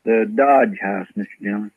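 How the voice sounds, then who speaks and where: A man's voice: on the low side, moderate pace, dry recording, close to an everyday voice. Man playing a folksy, drawling Western sidekick. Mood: obedient, earnest. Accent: rural American drawl. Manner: deferential, earnest. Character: folksy, eager, simple-hearted deputy sidekick to a marshal. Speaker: radio actor playing the sidekick. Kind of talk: classic Western radio drama acting. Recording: muffled old radio broadcast recording.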